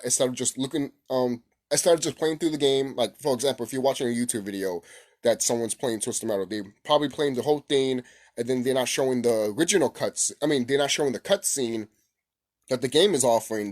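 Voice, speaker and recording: Male gaming vlogger, mid-pitched voice, casual and informal, circles back over his points in a loose, unscripted way. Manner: informal, repetitive, mild swearing. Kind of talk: casual opinion vlog, rambling.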